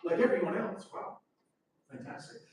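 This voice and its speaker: A man's voice: moderate pace, a little mellow. A middle-aged Canadian man with a Canadian accent, an English teacher and teacher trainer talking encouragingly on a live stream, chatty and full of fillers. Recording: echoey room, voice heard from a distance.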